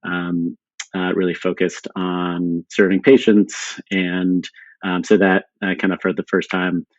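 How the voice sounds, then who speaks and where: Low, flat-voiced man with many fillers. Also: a little slow, no echo, muffled recording. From born in Sweden, raised in San Diego. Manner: hesitant, many fillers and restarts. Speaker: podcast guest, company co-founder. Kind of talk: casual interview talk.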